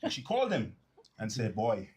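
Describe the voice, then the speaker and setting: A Bahamian man who serves as a minister speaks as a guest on a talk show, his voice a little husky. He is courteous and measured, telling stories at an even, deliberate pace. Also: medium pitch. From Grand Bahama. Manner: polite, measured storytelling.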